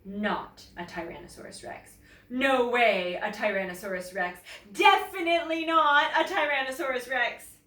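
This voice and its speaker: A woman's voice: a little high, normal speed, sharp tone, reverberant, recorded from a distance, voice pushed up. A woman voicing a brave, stubborn young girl in a children's storybook, insistent in manner. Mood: nonchalant, firm.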